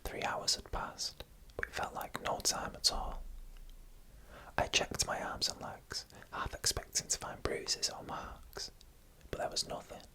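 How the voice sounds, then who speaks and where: A narrator telling eerie stories in a breathy, unvoiced whisper, in deliberate short phrases for ASMR. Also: pushed and bright, rough recording. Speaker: ASMR storyteller.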